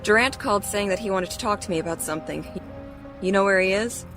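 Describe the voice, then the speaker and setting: An actress playing a sardonic police captain in an audio drama, speaking with dry sarcasm. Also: female voice, medium pitch, fast, music in the background, natural voice. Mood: amused, dry.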